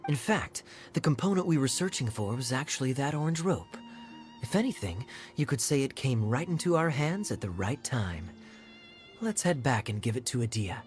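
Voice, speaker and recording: A man voicing a suave, teasing young master thief in a video game, smooth and playfully sly. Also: medium pitch, big pitch swings, normal speed, faint music behind, lightly acted. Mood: sly, assured. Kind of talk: video game cutscene acting.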